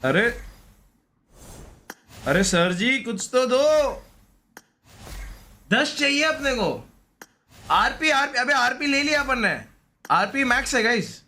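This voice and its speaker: A man's voice: extremely high pitch, animated, slow pace, pauses often, sharp tone. A man giving casual, slangy live gaming commentary, often repeating short pleading phrases. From India. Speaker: gaming streamer.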